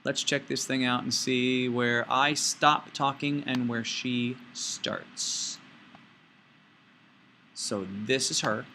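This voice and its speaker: A man teaching an informal step-by-step software tutorial, playfully talking himself through each action out loud. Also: medium pitch, steady tone, slow pace, slight background noise.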